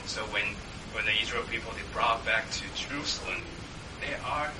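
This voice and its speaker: Man, halting church testimony. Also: fairly low pitch. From Taiwan. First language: Mandarin. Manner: halting, with many fillers and tag questions. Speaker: church member sharing. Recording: echoey room, distant mic, background noise.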